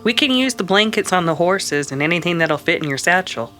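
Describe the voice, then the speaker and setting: A woman playing a steady, practical frontier woman, firm and matter-of-fact, in a western radio drama. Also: fairly low pitch, brisk, faint music behind. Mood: calm, practical.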